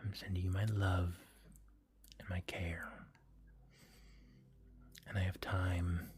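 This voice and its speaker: A man hosting a livestream, whispering gently and offering soft, comforting affirmations in an ASMR style. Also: deep voice, level tone, slow pace, husky. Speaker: livestream host.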